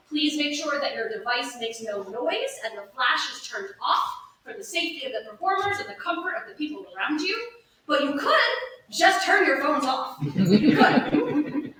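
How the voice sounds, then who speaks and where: Very high, bright woman hosting in echoey theater. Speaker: host introducing a stage performance. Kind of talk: live pre-show welcome speech. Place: indoor playhouse, before live audience. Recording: echoey theater, distant mic, noisy.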